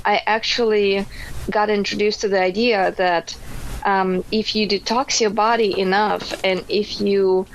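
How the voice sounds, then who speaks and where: A Russian-born woman answering interview questions casually, pausing often with ums and restarts. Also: mid-range voice, fairly even intonation, a little hiss or hum, rough recording. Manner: hesitant, many ums and restarts. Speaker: podcast guest.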